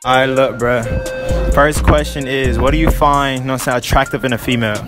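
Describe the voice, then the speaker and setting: A man's voice: mid-range voice, fairly even intonation, brisk, over music. Slangy young man interviewing students. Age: young adult. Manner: slangy, repeats "all right, look" and "you know what I'm saying". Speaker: street interviewer. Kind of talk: casual public interview. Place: outdoors and in hallways at a high school. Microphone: phone held to the mouth.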